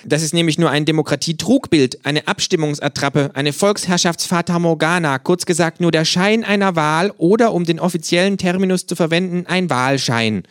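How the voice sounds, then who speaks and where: Male reader voicing a storybook kangaroo: cheeky, mocking, preachy, pitched up and loud. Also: moderate pace, hardly any pauses, no room sound, clean studio sound, lightly acted. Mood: ranting. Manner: snappy, mocking, ranting. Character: cheeky know-it-all male kangaroo, ranting leftist.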